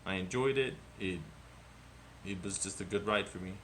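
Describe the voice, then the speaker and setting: A man co-hosting a casual movie review podcast, talking in an animated, rambling way and swearing often. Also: low voice, moderate pace, a little hiss or hum. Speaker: podcast co-host.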